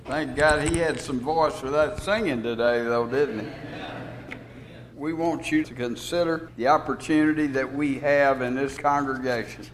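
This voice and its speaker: A man's voice: mid-range voice, slight background noise, poor audio. A man hosting a church radio broadcast, earnestly listing outreach facts and places. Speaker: preacher and radio host.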